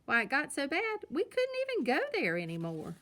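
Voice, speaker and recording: An elderly grandfather bear from a children's storybook, played by a woman reading aloud. He is folksy and teasing, reminiscing emphatically in a highish voice. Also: wildly swooping pitch, normal speed, pushed and bright, full character voice.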